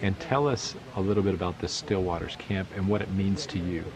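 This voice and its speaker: A man's voice: low voice, little pitch movement, fairly quick, noisy background. A man interviewing people at a camp outdoors, asking short, prompting questions.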